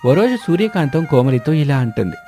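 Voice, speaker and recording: A man's voice: a little high, very animated, a little fast, music in the background. A man reads scripted narration for a moral story.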